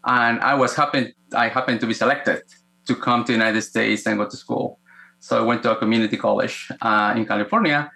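A man from Guatemala with a Guatemalan Spanish accent, telling his story conversationally as an interview guest, often halting and rephrasing. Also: medium pitch, fairly even intonation, creaky, bright, forceful tone. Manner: halting, with repeated words and self-corrections. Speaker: podcast guest, executive coach.

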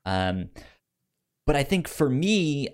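A man hosting a podcast in casual chat, talking haltingly with repeated false starts. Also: on the high side, very animated. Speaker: podcast host.

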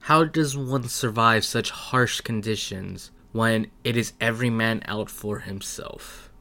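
A man's voice: lowish voice, steady tone, a little slow, bright, forceful tone. A man hosting and narrating a tabletop role-playing game as game master. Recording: music under the voice. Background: music.

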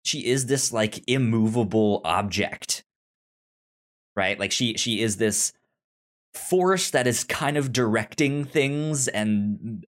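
A man co-hosting a casual TV discussion podcast, speaking haltingly with stammered, repeated syllables and words. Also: medium pitch. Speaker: podcast co-host.

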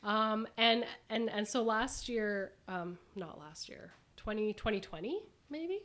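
A woman hosts a relaxed-format panel conversation. Her voice sits at an ordinary mid pitch. She feels her way through questions, pausing and rephrasing as she goes. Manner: halting, circles back to rephrase questions. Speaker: host.

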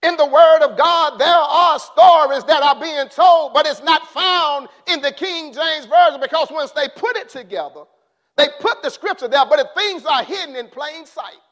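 A man preaching a sermon in an impassioned way, rising to shouts and then dropping low. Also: moderate pace.

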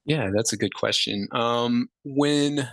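A man in his thirties, a musician guest, answering interview questions in a relaxed, rambling way with many fillers. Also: medium pitch, slow pace, no echo.

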